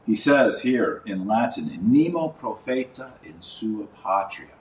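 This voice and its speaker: A man hosting a religious commentary podcast, speaking in an emphatic, didactic, preachy way with deliberate stresses and repetition. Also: lowish voice, lively intonation, a little mellow. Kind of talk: preachy commentary to camera or microphone. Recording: roomy echo, distant mic, faint noise.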